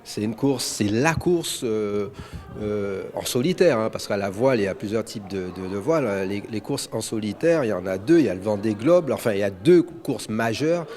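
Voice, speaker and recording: A man's voice: fairly low pitch, lively intonation, moderate pace, a little mellow. A man from Guadeloupe, an amateur sailor, speaking in a press interview with many hesitations and restarts.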